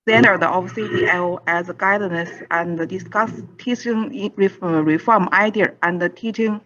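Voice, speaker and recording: Woman giving a formal conference pitch. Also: normal speed, a bit bright, slight background noise. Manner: careful, formal, with restarts. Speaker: conference presenter. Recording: slightly dull lo-fi audio.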